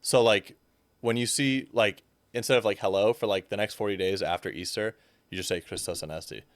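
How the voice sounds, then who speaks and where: A man's voice: fairly low pitch, a little fast, dry recording. A young man, a fitness coach guest, chatting casually and animatedly, with frequent fillers. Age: young adult. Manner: animated, fills speech with "like". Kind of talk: casual chat.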